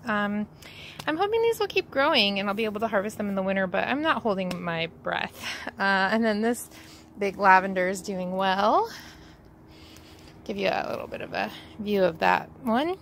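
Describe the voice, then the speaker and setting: Woman, 30s, vlog host, slightly gravelly voice, chatty and rambling, phone mic outdoors with faint ambience. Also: medium pitch, normal speed, slight background noise. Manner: relaxed, rambling, many ums. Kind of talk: casual garden tour vlog. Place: outdoors in a backyard garden. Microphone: phone at arm's length.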